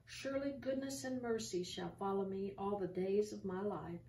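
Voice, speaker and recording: An older woman hosting an online church show, talking gently and conversationally with many ums and restarts, then reading scripture aloud, seated in a small curtained room. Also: mid-range voice, normal speed. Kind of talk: informal devotional talk with scripture reading. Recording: roomy, distant home recording with faint hiss.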